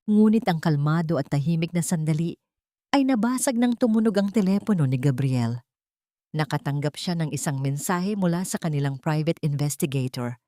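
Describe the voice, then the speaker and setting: Expressive Filipino woman narrating a drama. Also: low-pitched, no echo, clean studio sound. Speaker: audiobook narrator. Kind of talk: scripted dramatic story narration.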